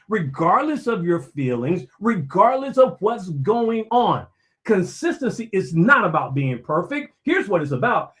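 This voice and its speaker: A man giving a motivational talk, preachy and emphatic, repeating key phrases and asking himself questions that he then answers. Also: sits high. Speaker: motivational speaker.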